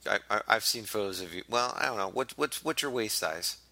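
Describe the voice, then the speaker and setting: A young adult man hosting a podcast, hoarse and raspy, talking in a casual, rambling, self-mocking way. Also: lowish voice, a little fast, pushed and bright, dry recording. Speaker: podcast host.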